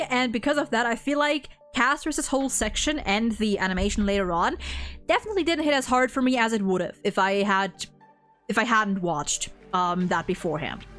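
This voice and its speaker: A woman's voice: a little high, fairly bright tone. A woman host talking casually about a video game, rambling and repeating words as she goes, with the odd casual swear.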